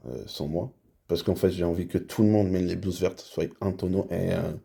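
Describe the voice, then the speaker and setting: A man from the Dominican Republic interviewing an applicant as head of a hospital in a roleplay, speaking slowly and measured. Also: deep voice, fairly even intonation, gravelly, warm tone, husky. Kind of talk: in-character job interview.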